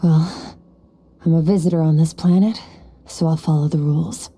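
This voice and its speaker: A woman's voice: low-pitched, steady tone, moderate pace, warm tone, breathy edge. A woman voicing a cool, aloof young swordswoman with a matter-of-fact manner in an anime-style game. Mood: detached.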